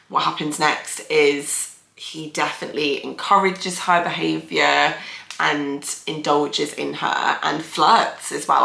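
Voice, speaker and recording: A woman's voice: low voice, gravelly, sharp tone, roomy sound. A young woman, a therapist hosting her channel, sounding a bit nasal and talking in a chatty, thoughtful way with many fillers. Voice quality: nasal from hay fever. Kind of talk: casual video commentary.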